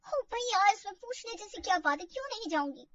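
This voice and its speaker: A woman voicing an excitable, gushing, eager young sister-in-law in a family drama story. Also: extremely high pitch, a little fast, far from the mic, dull audio, pitched above the performer's own voice. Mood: eager, admiring. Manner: gushing, exclamatory.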